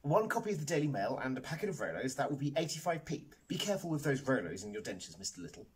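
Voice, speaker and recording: A man voicing an earnest, embarrassed, chatty newsagent who confides in hushed tones, in a children's comedy audiobook. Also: medium pitch, very animated, fast, breathy edge, pitched above the performer's own voice. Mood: flustered.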